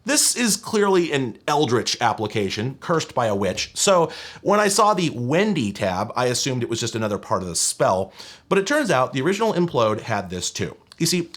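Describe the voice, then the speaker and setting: A man presenting tech in a scripted but conversational narration, enthusiastic, wry and emphatic. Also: mid-range voice.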